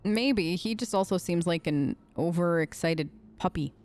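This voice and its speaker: A woman's voice: lowish voice, unhurried, a little mellow. A woman hosting a gaming video, casually role-playing her character's side of the dialogue in a chatty, conversational way.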